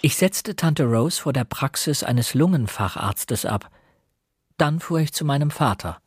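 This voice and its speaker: An actor playing an earnest young fiancé who narrates a murder mystery radio play in a measured, serious way. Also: male voice, on the high side, expressive, husky. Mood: sober narrating. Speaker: narrator and lead character. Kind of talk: crime radio drama narration and dialogue.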